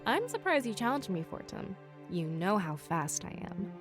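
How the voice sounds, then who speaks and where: A woman's voice: mid-range voice, big pitch swings, normal speed, over music, natural voice. A woman voicing a confident, teasing, kind-hearted animal adventurer in a visual novel. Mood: teasing, smug.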